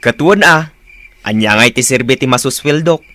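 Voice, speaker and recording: An actor playing a grumbling, exasperated husband who grudgingly gives in, in a radio comedy drama. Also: male voice, medium pitch, moderate pace, sharp tone, lightly acted. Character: grumbling husband giving in to his demanding wife.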